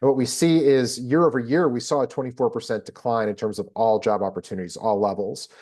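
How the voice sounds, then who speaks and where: Male presenter, medium pitch, analytical, measured, business report delivery. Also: fairly even intonation, darkish tone. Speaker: host presenting a job market report.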